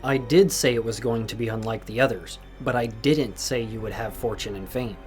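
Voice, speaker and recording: A man playing a domineering, displeased master in an audio drama, deliberate, imperious and reproving. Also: mid-range voice, natural voice. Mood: imperious, knowing. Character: stern, displeased, domineering master with power over the arena. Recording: music bed under the voice.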